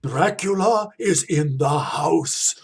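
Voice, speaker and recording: Radio drama monster-hunting doctor, male actor: slow, husky, grave, resolute, emphatic. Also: on the high side, lively intonation, exaggerated voice. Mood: grave, steady. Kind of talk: theatrical radio play acting.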